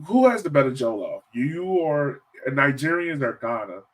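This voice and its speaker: A man's voice: mid-range voice, sing-song, moderate pace, fairly bright tone. An American man interviewing a guest as podcast host in informal, slangy talk with plenty of "man" and "yo". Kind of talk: casual interview chat.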